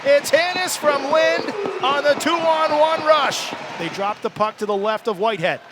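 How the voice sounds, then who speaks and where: A man calling live ice hockey play-by-play for radio in an excitable manner, building from steady calls to shouts. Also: extremely high pitch, measured pace, heavy background noise.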